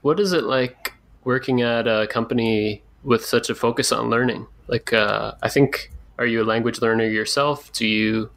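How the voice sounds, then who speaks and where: A man's voice: mid-range voice, normal speed, vocal fry, a bit bright. A man interviewing a guest, asking plain follow-up questions with some restarts.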